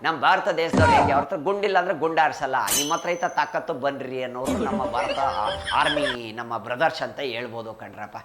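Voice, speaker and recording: A man's voice: mid-range voice, brisk, over a noisy crowd. A man with a rustic Karnataka Kannada accent delivers a comic news monologue, folksy and chatty, tossing rhetorical questions at viewers. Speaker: host of a satirical political news segment.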